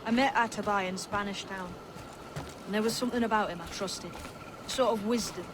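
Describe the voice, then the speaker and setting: An actor playing a devout young assassin in a video game, earnest and plain-spoken about liberty. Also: male voice, sits high, fairly quick, lightly acted. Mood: earnest, explaining. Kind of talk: video game cutscene acting. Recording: clear ambient noise behind the voice.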